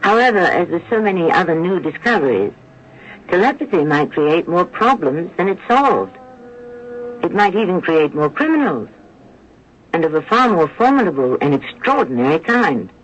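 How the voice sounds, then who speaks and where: Male mystery host: slightly high, animated, solemnly musing, old muffled radio recording. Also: a little hiss or hum. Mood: musing, ominous. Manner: measured, musing, theatrical. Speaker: radio drama narrator and host. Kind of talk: scripted radio narration.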